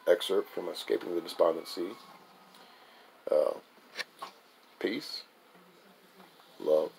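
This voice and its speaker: A man with a low, creaky voice reads as an author presenting his own work. His delivery is that of a recitation with a personal address to listeners, recorded with some noise behind him. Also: steady tone, slow, a little mellow, poor audio. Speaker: author reading own book.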